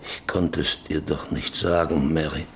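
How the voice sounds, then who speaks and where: An actor in a radio play playing a middle-aged rancher, injured and guilt-ridden, speaking in a halting, brusque, brooding way. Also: male voice, rumbling low, fairly even intonation, a little hiss or hum. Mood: remorseful, brooding. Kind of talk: German radio play acting.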